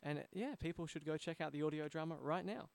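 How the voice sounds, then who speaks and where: A man's voice: on the high side, fast, creaky, clear, resonant tone, no echo. An Australian man hosting a podcast in a conversational interview style. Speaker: podcast host.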